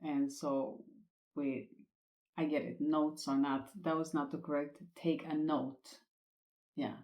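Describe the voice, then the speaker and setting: A woman whose first language is Swedish, hosting a spiritual podcast and talking in a gentle, reassuring way that repeats its points. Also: sits low, fairly even intonation, takes it slowly, roomy sound, rough recording. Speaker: podcast host.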